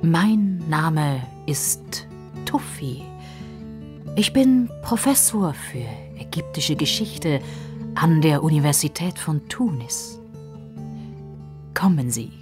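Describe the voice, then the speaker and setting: A courteous, mysterious little professor from a children's story, acted by a woman. He speaks low and very slowly, with a hushed, deliberate delivery. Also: expressive, music in the background. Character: small scruffy male Egyptology professor, courteous, mysterious.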